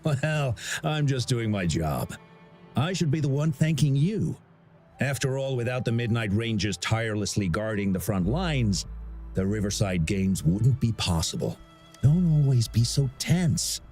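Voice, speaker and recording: A man's voice: mid-range voice, unhurried, faint music behind, lightly acted. An actor playing a genial, talkative older man who directs a sporting festival in a video game. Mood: genial, encouraging. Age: middle-aged. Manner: genial, chatty, expressive.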